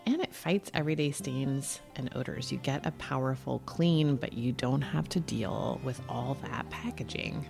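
A woman's voice: very deep voice, normal speed, creaky, few pauses, soft background music. A woman hosting a podcast, speaking in a casual, conversational way. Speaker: podcast host. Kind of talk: casual podcast chat and host-read ad.

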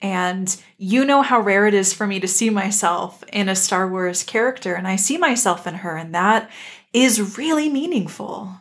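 A woman's voice: mid-range voice, normal speed. A woman co-hosting a podcast, talking in a casual fan-discussion style. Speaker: podcast co-host.

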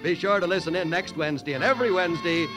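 A man's voice: high-pitched, racing, music in the background. A man announcing a radio show and reading an ad in the emphatic style of 1940s radio. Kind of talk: scripted radio announcing and ad read.

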